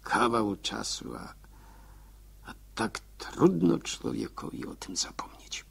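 An actor in a 1960s Polish radio drama playing a reflective middle-aged countryman haunted by war memories, musing haltingly in a gravelly, husky voice. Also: fairly low pitch, sing-song, in a whisper, heavily acted. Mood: wistful, brooding. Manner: slow, halting, musing aloud.

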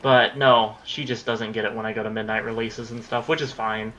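A man's voice: lowish voice, little pitch movement, brisk, a bit bright, lo-fi sound, a little hiss or hum. A young man in his late teens rambling casually about his recording plans while playing a game, swearing when things go wrong. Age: young adult. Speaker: gaming YouTuber. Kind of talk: casual commentary over gameplay.

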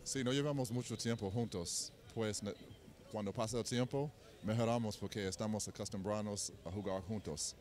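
Man in halting, non-native Spanish. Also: fairly low pitch, a little mellow. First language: English. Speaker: foreign basketball player. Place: indoor basketball arena. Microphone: handheld mic held by interviewer.

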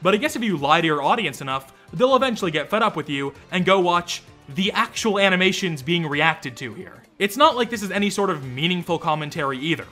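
A man's voice: sits high, expressive, fairly quick, few pauses, pushed and bright, soft background music. A young man doing snarky, exasperated video commentary, swearing freely as he hands out sarcastic verdicts. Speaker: YouTube commentator.

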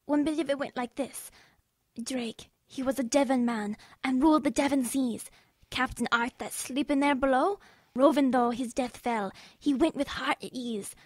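A woman playing an excitable, curious young boy in a radio drama. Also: high voice, no echo, studio quality. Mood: wondering.

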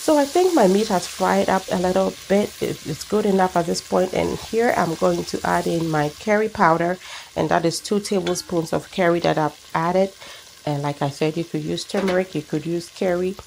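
Woman, Ghanaian accent, middle-aged, low voice, chatty cooking host, outdoor patio. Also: moderate pace, vocal fry, a little hiss or hum, rough recording. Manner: warm, chatty, explains each step. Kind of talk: cooking demonstration. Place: outdoor backyard patio at a grill. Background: sizzling pan, birds.

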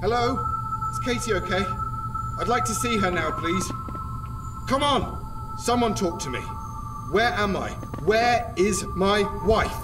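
An actor playing a playful, flirtatious man in a radio drama, with a teasing, animated delivery. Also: high voice, a little slow, pauses often. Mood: panicked. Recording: music under the voice.

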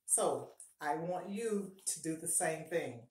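A woman's voice: sits low, lively intonation, takes it slowly, darkish tone. A middle-aged woman giving upbeat, encouraging budgeting advice to camera in a casual home vlog, often repeating her key points. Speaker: personal finance vlogger. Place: small home bathroom, indoors. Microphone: fixed camera at close range. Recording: echoey small bathroom.

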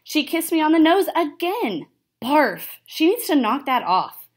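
A woman's voice: high-pitched, very animated, unhurried, pitched above the performer's own voice. A young woman voicing a cocky, chatty male pet hamster in a storybook, self-satisfied and asking and answering himself. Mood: disgusted.

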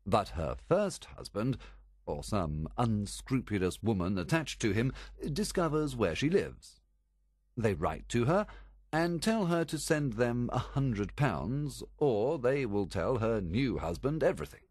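A man reading a story aloud as a calm, reasoning detective, explaining a theory in a measured way. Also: medium pitch, no echo, studio quality, voice pushed up.

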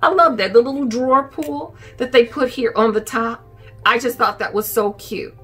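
Bright-voiced middle-aged woman vlogger. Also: a little high, normal speed, slightly rough, soft background music. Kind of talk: casual shopping haul chat to camera. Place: furnished living room at home, indoors.

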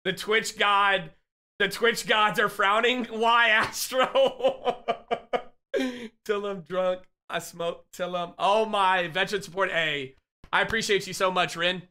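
A man in his thirties streaming from home on a headset, loudly greeting chat with excitable, profane shout-outs while he is losing his voice. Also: high voice, slow pace, smooth. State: losing his voice, getting sick. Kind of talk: casual live-stream chat.

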